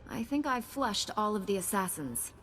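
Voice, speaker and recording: An actress playing a calm, resolute and compassionate female space knight in a video game. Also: mid-range voice, normal speed, soft background music, close to an everyday voice, poor audio.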